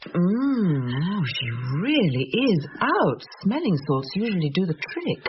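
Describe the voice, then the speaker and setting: A woman's voice: lowish voice, big pitch swings, a little slow. An actress playing a sensible, sceptical woman, practical, dry and composed in radio drama style. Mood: concerned, brisk. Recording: dull lo-fi old radio recording, faint hiss.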